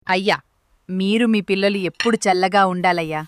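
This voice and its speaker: A woman's voice: medium pitch, brisk, a little hiss or hum, natural voice. A woman playing a poor, devoted beggar mother and mildly scolding wife in a dramatised moral story. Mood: pleading.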